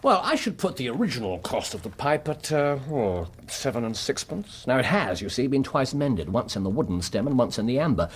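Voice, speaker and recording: An actor playing a keen, dry-witted Victorian consulting detective in a radio drama, with an English accent and precise, urbane, musing speech. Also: male voice, medium pitch, big pitch swings, fairly quick, hardly any pauses, a little hiss or hum, natural voice. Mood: musing, engaged. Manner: precise, urbane, musing with little hums and sighs.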